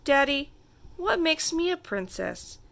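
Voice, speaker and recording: A woman's voice: high voice, sing-song, slow, vocal fry, fairly bright tone, voice pushed up, full character voice. A woman voicing a bossy, dramatic little girl princess who makes grand declarations in a children's storybook. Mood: imperious, eager. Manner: grand, self-important declarations.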